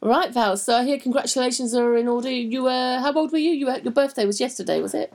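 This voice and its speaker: A woman in her thirties co-hosting a podcast, teasing her co-host with quick jokes and prompts, recorded on a laptop without a microphone. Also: on the high side, a little mellow, a little hiss or hum. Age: 30s. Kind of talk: casual podcast banter.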